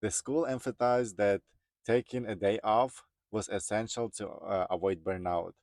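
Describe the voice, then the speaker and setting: A man's voice: on the low side, darkish tone, no echo. A man in his thirties from Ukraine with a Ukrainian accent, telling his personal story to viewers as a vlogger. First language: Ukrainian or other non-English. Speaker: vlogger, truck driver.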